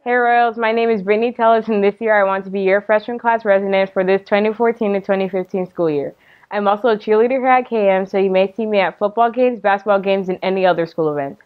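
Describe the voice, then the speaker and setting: A woman's voice: mid-range voice, steady tone, quick pace, lo-fi sound. A teenage American girl giving a prepared campaign speech as a student candidate. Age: teenager, about 14. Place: indoor studio, seated.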